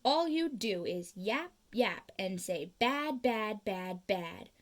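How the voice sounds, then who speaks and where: A woman's voice: mid-range voice, expressive, slow pace. A woman playing a greedy, defiant male industrialist from a storybook, blustering and self-justifying. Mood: angry, defiant. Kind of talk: storybook read-aloud.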